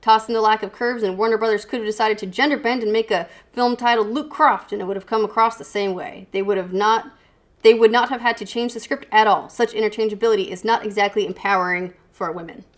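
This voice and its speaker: A woman's voice: mid-range voice, fairly even intonation, quick pace. A woman hosting a movie review show, talking in a casual, conversational and explanatory way. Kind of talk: casual discussion and review chat.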